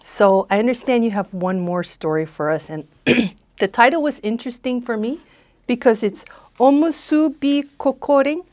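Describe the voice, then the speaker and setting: A woman's voice: a little high, normal speed, a little mellow. A woman interviewing guests as host, in a conversational style.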